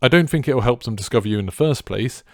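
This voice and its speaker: A man with a deep, clear voice, giving casual advice to viewers as a streamer in a chilled, laid-back way. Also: lively intonation, fast talker, a little mellow, no echo, studio quality.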